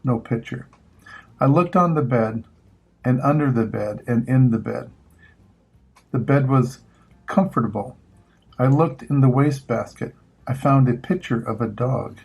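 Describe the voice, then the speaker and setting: Older male storybook reader, husky, unhurried, measured phrasing for young children. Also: mid-range voice, darkish tone, low-quality recording. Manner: measured, pausing between short phrases. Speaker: grandfather reading picture books aloud. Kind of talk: children's storybook read-aloud.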